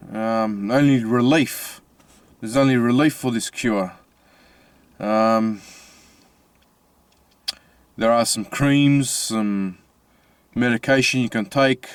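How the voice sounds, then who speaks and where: Slow-talking Australian man, smooth voice. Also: mid-range voice, no echo. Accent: Australian with Italian-family influence. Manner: halting, many fillers and restarts. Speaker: vlogger. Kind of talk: casual personal vlog. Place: inside a parked car.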